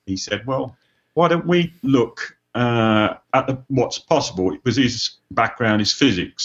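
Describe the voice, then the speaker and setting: A man's voice: fairly low pitch, a bit bright, dull audio. A British man from the United Kingdom, an author speaking as a podcast panelist, talking casually with frequent hesitations. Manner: hesitant, lots of "uh" fillers.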